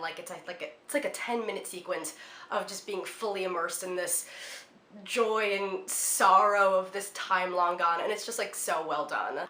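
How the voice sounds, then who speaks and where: A woman in her twenties talks casually about films she loves, her speech full of "like" and "um". She sounds a little congested from a cold, with a bright, forward tone. The room around her is echoey. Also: mid-range voice. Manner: chatty, lots of "like" and "um" fillers. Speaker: film vlogger. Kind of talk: casual film recommendations to camera.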